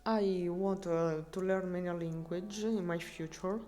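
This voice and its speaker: A woman streamer chatting casually with her viewers, speaking halting non-native English with frequent restarts while she searches for words. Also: lowish voice, fairly even intonation, dark tone. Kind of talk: casual live-stream chat.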